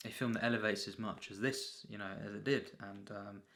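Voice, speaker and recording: A man with a fairly low, creaky voice gives an off-the-cuff film review. His delivery stays in a narrow pitch band with a hard, forceful edge. He speaks haltingly, circling back and repeating himself as he goes. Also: steady tone. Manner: halting, repetitive, frequent throat clearing and filler phrases. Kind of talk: unscripted, rambling film review.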